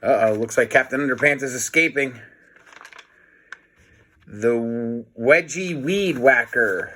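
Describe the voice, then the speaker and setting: Bright-voiced man reading aloud. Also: medium pitch, takes it slowly, a little hiss or hum. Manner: playful, dramatic, comments on the pictures. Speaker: storybook reader. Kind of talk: animated read-aloud of a children's book.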